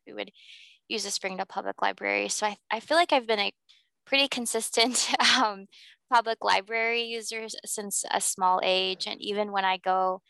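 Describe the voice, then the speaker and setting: Young woman with a bright, gravelly voice. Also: medium pitch, no echo. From Missouri. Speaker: community liaison guest.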